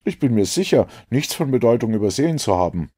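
A man's voice: medium pitch, sing-song, quick pace, warm tone, breathy edge, voice pushed up. A man voicing an eager, self-satisfied detective's companion in an audiobook, earnest and a little smug.

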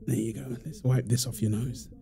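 A man's voice: mid-range voice, level tone, moderate pace, vocal fry, warm tone, slight background noise, lightly acted. A man playing a playful, doting young father in an ASMR roleplay, teasing and affectionate with his family. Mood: gentle, coaxing. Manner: teasing, affectionate, laughing easily. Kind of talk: ASMR roleplay, improvised casual talk.

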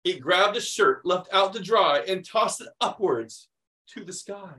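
Higher-voiced American man reading to children. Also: a little slow. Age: middle-aged. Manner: animated, expressive, explanatory for young children. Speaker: children's read-aloud host. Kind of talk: picture-book read-aloud with chatty asides.

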